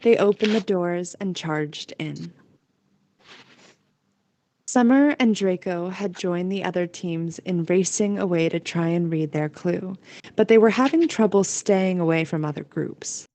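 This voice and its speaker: A woman's voice: fairly low pitch, measured pace, dark tone. A woman narrating a scripted fantasy audio story in a storytelling voice.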